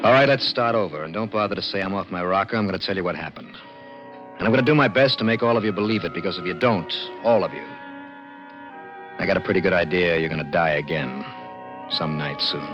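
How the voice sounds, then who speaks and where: Haunted american working joe on old radio, real low voice, loud music behind. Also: male voice, fast, muffled recording. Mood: urgent, insistent. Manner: folksy, emphatic, urgent. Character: plain-spoken male garage mechanic in his thirties, haunted storyteller. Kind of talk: 1950s radio drama narration.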